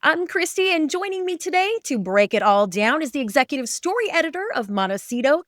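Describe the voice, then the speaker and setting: A woman's voice: high voice, sing-song, fairly quick, fairly bright tone, no echo, studio quality. A woman hosting a podcast, talking in a conversational interview style as she recaps a show. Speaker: podcast host.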